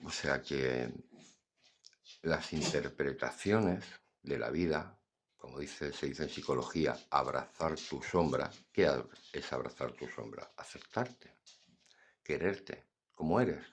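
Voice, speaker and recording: A man's voice: extremely low pitch, slow, slightly rough. An older man, talking bluntly and earnestly about acceptance in a casual philosophical monologue. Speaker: podcast host.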